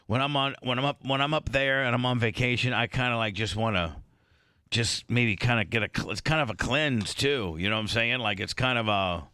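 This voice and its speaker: A man hosting a morning radio show, talking casually in halting, rambling bursts with many restarts, sounding a bit congested. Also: mid-range voice, pushed and bright, clean tone, dry recording, studio quality. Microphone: studio microphone.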